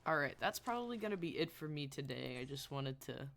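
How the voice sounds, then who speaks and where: A woman with a low, bright, forward voice streams a video game. She chats casually and half to herself, swearing and exclaiming at what happens, and sounds worn from a long session. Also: very animated, fairly quick, creaky. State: tired after a long stream. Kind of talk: casual live gaming commentary.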